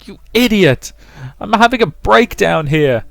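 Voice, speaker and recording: A man's voice: high voice, expressive, a little slow, creaky, a bit bright, dry recording, voice pushed up, exaggerated voice. A man voicing an anguished, guilt-ridden young woman with a hot temper in a visual novel, stammering and emotional. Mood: exasperated. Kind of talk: visual novel character reading.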